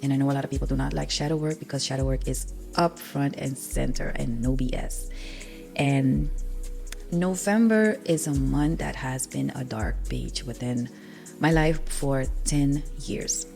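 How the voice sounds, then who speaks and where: A woman's voice: very deep voice, measured pace, creaky, darkish tone, faint music behind. A young woman with a Surinamese accent, whose first language is Sranan Tongo, hosting a personal spiritual podcast in an earnest, confessional way, sniffly with a cold. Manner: earnest, raw and confessional, with many ums. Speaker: podcast host.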